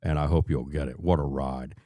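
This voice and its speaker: A man's voice: a bass voice, fairly even intonation, dark tone, no echo. An older man hosts a conversational radio interview, often restarting his phrases as he goes. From American South. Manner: halting, repeats phrase openings. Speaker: radio show host.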